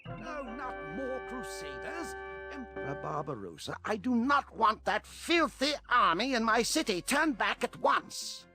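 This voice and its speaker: A high, swooping male voice as an imperious video game ruler. He is indignant and commanding, delivering orders theatrically over prominent music. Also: very animated, unhurried, heavily acted. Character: haughty, indignant ruler irritated by crusaders.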